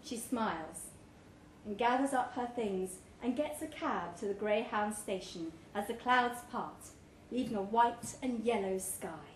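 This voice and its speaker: A woman's voice: mid-range voice, measured pace. A woman reading a short story aloud to a live event, expressively, giving life to the dialogue. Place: indoors, pub event room. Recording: roomy live pub recording, background noise.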